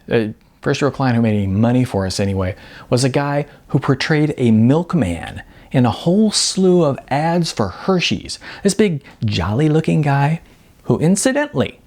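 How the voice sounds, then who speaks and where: Brash middle-aged female talent agent played by a man, wisecracking and emphatic, swooping pitch, creaky edges, audiobook dialogue. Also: darkish tone. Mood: chatty, animated.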